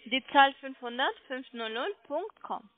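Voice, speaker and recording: A woman telemarketer making a sales pitch over the phone, persistent and polite, coaxing with check-back questions. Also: expressive, measured pace. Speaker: telemarketing caller selling online trading. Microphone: telephone call. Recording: muffled phone line.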